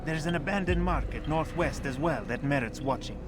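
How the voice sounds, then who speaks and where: An actor playing a curt, condescending leader of a medieval bureau in a video game, speaking in measured, formal phrases. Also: male voice, a little high, noisy background, lightly acted. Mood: businesslike. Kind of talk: scripted video game dialogue. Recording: music behind the voice.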